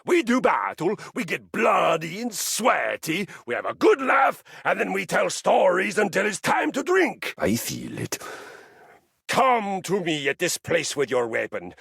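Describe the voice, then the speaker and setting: Game role: vain, jovially bellicose male Viking warrior. Gravelly voice, unhurried grandiose delivery. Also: high-pitched, wildly swooping pitch, a bit bright, no room sound, studio quality, exaggerated voice. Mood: exuberant battle bravado. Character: boastful, battle-hungry male Viking warrior, a personified treadmill. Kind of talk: theatrical video game character acting.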